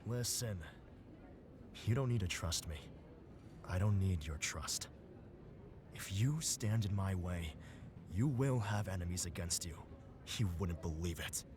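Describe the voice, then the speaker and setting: A man's voice: sits low, lively intonation, a little slow, long pauses. An actor playing a menacing, hot-tempered man in a video game, barking commands and threats over noisy ambience. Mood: threatening. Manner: threatening, commanding, prone to yelling. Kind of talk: dramatic video game voice acting.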